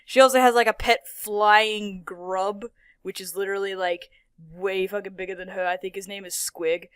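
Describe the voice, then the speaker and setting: Foul-mouthed, animated woman rambling. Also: medium pitch, normal speed, clear, resonant tone, no room sound. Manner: profane, animated, keeps slipping into impressions. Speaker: YouTuber reviewing a childhood cartoon. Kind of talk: casual rambling commentary.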